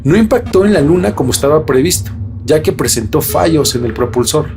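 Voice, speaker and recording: A man hosts a podcast, reading scripted mystery narration with dramatic, deliberate phrasing. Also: fairly high pitch, lively intonation, a little mellow, faint music behind. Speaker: podcast host.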